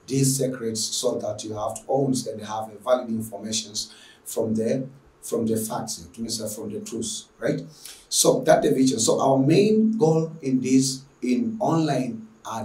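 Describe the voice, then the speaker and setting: A man's voice: medium pitch, darkish tone. A man with a Tanzanian accent giving an earnest, emphatic lecture introduction as an online teacher, often repeating phrases. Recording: echoey room, distant microphone.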